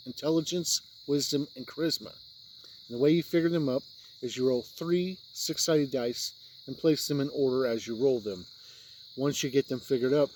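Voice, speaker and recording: A man's voice: medium pitch, rough recording. A man with a mid-range voice gives an unscripted review. He explains things plainly, one step after another, and sometimes repeats himself. A light layer of background noise sits behind his voice. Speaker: tabletop game reviewer.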